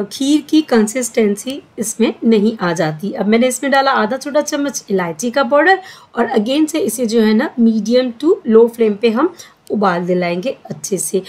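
A middle-aged woman talking through a home recipe step by step in a chatty, casual way, with frequent filler sounds. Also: fairly high pitch. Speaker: cooking vlogger. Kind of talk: home cooking voice-over. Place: home kitchen.

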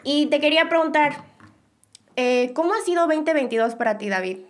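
A woman's voice: sits high, normal speed. A young woman with a Mexican Spanish accent, hosting a podcast in casual, bubbly chat and laughing often. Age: young adult. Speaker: podcast host.

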